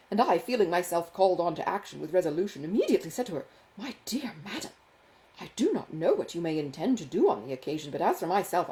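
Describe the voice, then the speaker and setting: Woman voicing a foppish, self-satisfied young gentleman, swooping wildly. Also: fairly low pitch, low-quality recording. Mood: mock concern, then affronted. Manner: affected, gushing, condescending. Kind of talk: dramatised audiobook dialogue from a classic novel.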